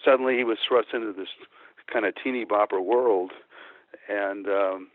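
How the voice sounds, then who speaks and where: A man's voice: fairly low pitch, moderate pace, no echo. An older man who grew up in Chicago, a musician guest reminiscing casually in an interview, talking in a hesitant, rambling way. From Texas-born, grew up in Chicago. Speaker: guest, former band musician. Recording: tinny phone line, narrow band.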